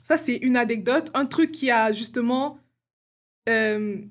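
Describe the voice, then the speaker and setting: Young woman from congo talking casual in an interview, kinda high, lots of ums. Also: a little slow. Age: young adult. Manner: casual, many fillers like "genre" and "euh". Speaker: guest.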